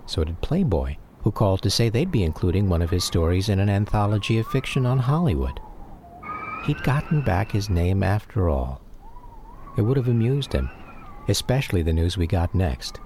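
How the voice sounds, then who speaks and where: An American man narrating a scripted radio memoir in a measured, reflective manner. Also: lowish voice, big pitch swings, noisy background.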